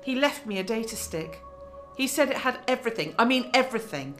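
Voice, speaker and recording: Woman acting a high-voiced, determined news reporter. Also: lively intonation, a little fast, fairly bright tone, soft background music. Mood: earnest, determined. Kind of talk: radio drama acting.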